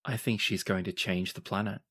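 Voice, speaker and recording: A game master narrating a tabletop roleplaying game in short, dramatic, unhurried storytelling phrases. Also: sits low, steady tone, a bit bright, husky.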